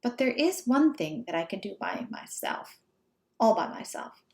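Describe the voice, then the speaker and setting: A woman's voice: on the high side, very animated, normal speed, a little mellow. A woman voicing a grumpy, reluctant, anxious male toad with emphatic delivery in a children's storybook. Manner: emphatic, blunt protests. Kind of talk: children's storybook read-aloud.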